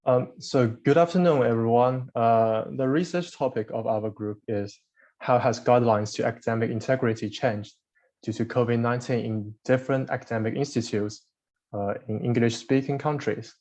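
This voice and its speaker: A man's voice: mid-range voice, steady tone, moderate pace, a little mellow. A young man giving a formal student presentation over a video call. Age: young adult. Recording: dull, band-limited video call audio.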